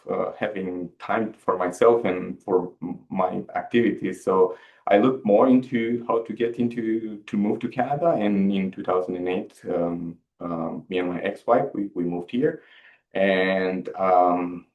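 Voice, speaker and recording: A man in his forties from Romania with a Romanian accent, answering interview questions in halting English and repeating words as he searches for them. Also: sits low, lo-fi sound. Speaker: guest.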